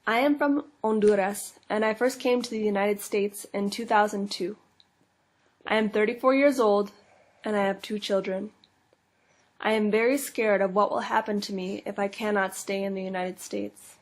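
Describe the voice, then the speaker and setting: A woman in her thirties voicing a frightened undocumented immigrant mother, telling her story in a quiet, earnest first person. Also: mid-range voice, steady tone, moderate pace, natural voice. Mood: earnest, fearful. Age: 30s. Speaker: case-study reader. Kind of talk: scripted first-person case narration.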